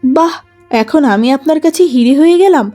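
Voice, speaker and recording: Proud, reproachful wronged wife, high-voiced. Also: female voice, animated, moderate pace, dark tone, faint music behind. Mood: bitter, scornful. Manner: firm, reproachful. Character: wronged elder sister wife, proud, bitter, unforgiving. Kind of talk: melodramatic cartoon story acting.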